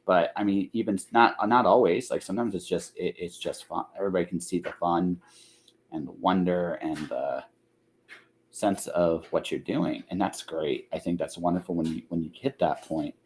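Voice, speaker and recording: Man, slightly low voice, podcast host, casual, rambling, circles back over his phrases. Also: normal speed, a little hiss or hum. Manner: rambling, repeats and rephrases himself. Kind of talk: casual chat.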